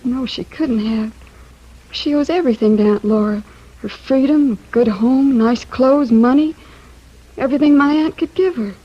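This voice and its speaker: A troubled young American woman in a 1950s radio drama. Her voice is fairly high but very husky, as if from a bad cough, with a resentful edge. The recording is dull and old. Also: moderate pace. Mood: worried. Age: young adult.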